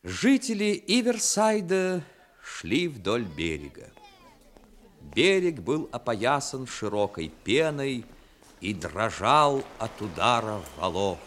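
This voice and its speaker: Мужчина-рассказчик выразительно читает художественный текст в манере радиоспектакля 1960-х. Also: male voice, medium pitch, sing-song, slow pace, fairly bright tone. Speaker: narrator. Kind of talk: scripted dramatic storytelling, 1960s radio. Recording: 1960s radio with music behind. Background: music.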